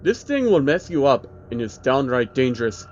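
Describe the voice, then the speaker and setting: A man's voice: medium pitch, big pitch swings, moderate pace, lo-fi sound, a little hiss or hum, close to an everyday voice. A man voicing a wary, blunt keeper of cursed tapes in a horror story, giving matter-of-fact warnings. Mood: grave warning.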